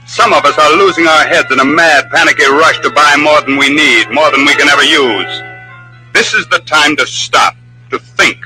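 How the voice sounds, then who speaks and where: A man's voice: medium pitch, poor audio. A man delivering dramatic, urgent scripted narration in a 1940s radio style. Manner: grave, urgent.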